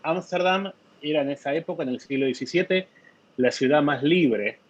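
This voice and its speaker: A man's voice: fairly high pitch, normal speed, no echo, dull audio. A man, a novelist in an interview, speaking thoughtfully with many hesitations and repeated words. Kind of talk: conversational interview.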